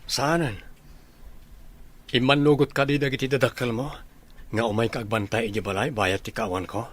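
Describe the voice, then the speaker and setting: A man's voice: medium pitch, fairly even intonation, normal speed, a bit bright, lightly acted. A man acting an easygoing homeowner in a radio drama, with an Ilocano accent. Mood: businesslike. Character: easygoing man, the owner of a house. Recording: noticeable background noise.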